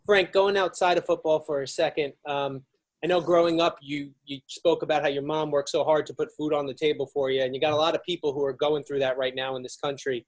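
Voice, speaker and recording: A man with a fairly high voice asks questions as a reporter. His delivery is very quick, in press conference style. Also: flat delivery.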